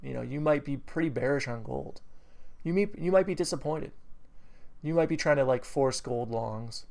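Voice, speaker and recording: A man with a New England accent and a gravelly edge, walking through a chart review in a loose, unscripted way. He trails off into fillers, corrects his own numbers, and perks up when a setup excites him. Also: medium pitch, normal speed. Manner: rambling, many fillers and self-corrections; enthusiastic bursts. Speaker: trading educator. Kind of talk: screen-recorded trade review.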